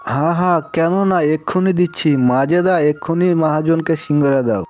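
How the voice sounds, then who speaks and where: A man's voice: a little high, normal speed, darkish tone, soft background music, natural voice. A man playing a humble, generous village husband and snack seller, earnest and deferential, in a cartoon story. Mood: humble, eager.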